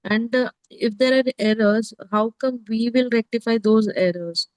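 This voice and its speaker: A woman's voice: a little high, moderate pace, a little mellow, no echo, poor audio. A woman speaking conversationally as a student in a class.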